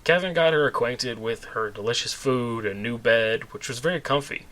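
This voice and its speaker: Bright-voiced man reading a comic story. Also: medium pitch, moderate pace. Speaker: storyteller, host of a story series. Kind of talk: scripted comedic story narration.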